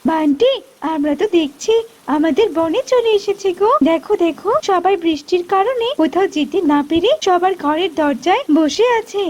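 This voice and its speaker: Woman as a high-voiced, gentle female pigeon. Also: animated, normal speed, low-quality recording. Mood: delighted.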